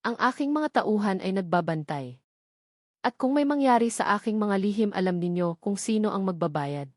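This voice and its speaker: A woman's voice: medium pitch, lively intonation, moderate pace, no room sound, clean studio sound, natural voice. A woman narrator playing an arrogant, threatening male mayor in a dramatic story. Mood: veiled menace. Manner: smooth, threatening, boastful.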